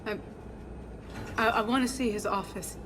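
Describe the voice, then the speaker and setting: A woman's voice: fairly high pitch, steady tone, background noise, natural voice. An actress playing an earnest, shaken female archaeologist in a video game, speaking in a hesitant, scholarly way. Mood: stunned, grieving. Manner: hesitant, halting delivery. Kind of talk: cinematic video game dialogue.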